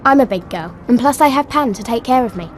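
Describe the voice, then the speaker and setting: A woman's voice: on the high side, lively intonation, fast, slight background noise, close to an everyday voice. A woman playing a bold, headstrong, adventurous young girl in a video game. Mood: eager, determined. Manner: eager, headstrong. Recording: music behind the voice.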